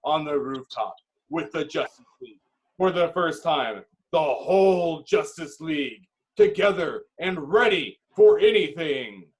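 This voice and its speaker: A man's voice: fairly high pitch, animated, measured pace, rough recording. A man reading screenplay stage directions as the dramatic narrator of a table read.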